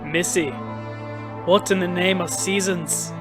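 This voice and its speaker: Narrator doing a folksy old friar, bit higher than his own voice, music under. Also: steady tone, slow pace. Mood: defensive. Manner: rustic, folksy speech. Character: older male friar, homely and defensive.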